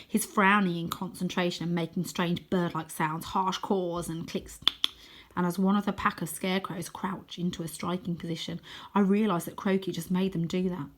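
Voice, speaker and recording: A woman reading a children's storybook aloud in an expressive, dramatic way, sometimes hushing her voice for suspense. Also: on the low side. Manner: dramatic, deliberate, dropping to hushed tones for suspense.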